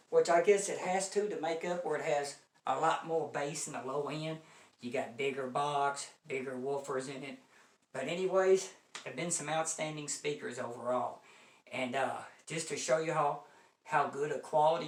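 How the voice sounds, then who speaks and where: An American man giving a casual, folksy product review to viewers, repeating points for emphasis. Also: on the high side. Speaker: home audio equipment reviewer. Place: indoor room. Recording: echoey room, distant microphone.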